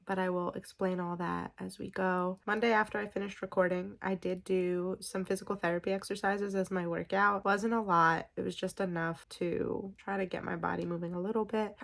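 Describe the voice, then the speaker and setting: A young woman giving a casual vlog update to her viewers. She is a little raspy and congested from being sick and talks in a flat, low-key way. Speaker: vlogger.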